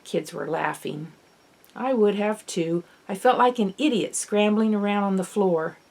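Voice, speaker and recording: Woman reading a kids adventure audiobook, first person, expressive and kinda dramatic, middle pitch. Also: unhurried. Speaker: author reading own novel. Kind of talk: audiobook narration of children's fiction, first person.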